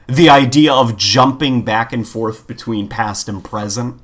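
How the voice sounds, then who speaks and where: A man's voice: mid-range voice, steady tone, a little slow, fairly bright tone. A man co-hosting a casual podcast, chatting in an opinionated, emphatic way and repeating himself as he goes. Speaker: podcast co-host. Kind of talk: casual opinionated podcast chat about games.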